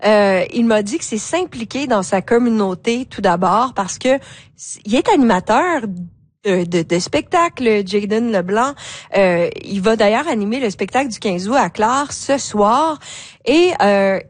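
A woman's voice: mid-range voice, lively intonation, measured pace, no room sound. A woman presenting radio news, hesitant with many fillers. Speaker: radio host and reporter.

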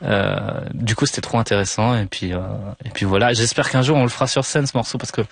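A man's voice: mid-range voice, fast, dry recording. A young man from France, a musician guest chatting casually and hesitantly with many fillers. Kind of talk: casual interview chat.

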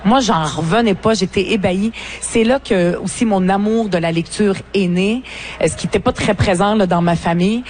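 Guest: Québécois woman, 40s, Arabic first language, earnest and reflective, halting with fillers, faint background noise. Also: mid-range voice, a little mellow. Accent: Québécois French. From Lebanon. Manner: earnest, reflective, many hesitations. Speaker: politician and author guest. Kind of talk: interview at a book fair. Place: book fair.

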